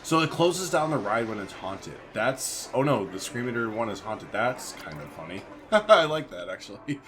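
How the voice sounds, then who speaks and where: A man's voice: medium pitch, big pitch swings, moderate pace, fairly bright tone. A man doing casual let's-play commentary, chatty and informal, thinking aloud as he plays. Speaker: gaming video host. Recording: faint background noise.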